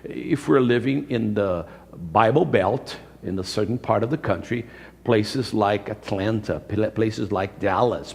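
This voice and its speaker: A man's voice: fairly low pitch, creaky, darkish tone. A man in his fifties with a Brazilian accent, a native Portuguese speaker, preaching a sermon emphatically and repeating phrases for effect. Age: 50s. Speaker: pastor. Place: church sanctuary. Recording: reverberant hall, live-streamed church service.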